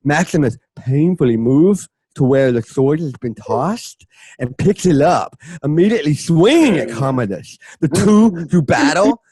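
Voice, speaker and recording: A man with a fairly high voice narrates a radio play in a dry, close sound. He treats the script loosely, slipping in wry, comic asides. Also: expressive, a little slow, warm tone, husky, no echo, low-quality recording.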